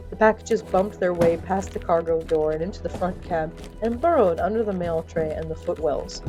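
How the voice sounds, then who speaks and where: A woman's voice: on the low side, low-quality recording. A woman narrating scripted fiction in a theatrical storytelling style, with wry, playful asides to the listener.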